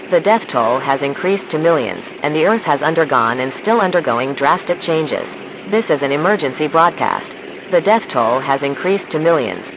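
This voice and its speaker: A man playing a calm, official emergency broadcast announcer, reading scripted alerts in a measured, formal way. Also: a little high, noisy background. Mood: grave, official.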